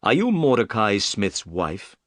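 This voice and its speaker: A man reading the part of a calm, polite detective in a storybook, speaking firmly and briskly. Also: fairly low pitch, no room sound, studio quality. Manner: firm, clipped, quick delivery.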